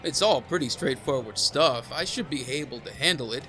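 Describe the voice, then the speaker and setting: Young father-to-be acted by a man, halting, easygoing, bewildered, audio comedy, bright tone, light music behind. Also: medium pitch, lively intonation. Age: young adult. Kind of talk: audio sketch comedy acting.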